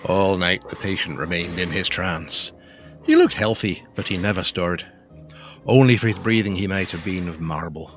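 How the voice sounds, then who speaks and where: Male actor solemn deep gothic storyteller, audio drama narration, faint music behind. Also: moderate pace, close to an everyday voice.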